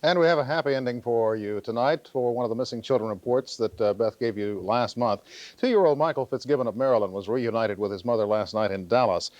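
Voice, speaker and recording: A man's voice: mid-range voice, a little fast, darkish tone, no room sound, muffled recording. A man reading the news as a local television anchor. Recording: faint music, 1980s TV broadcast.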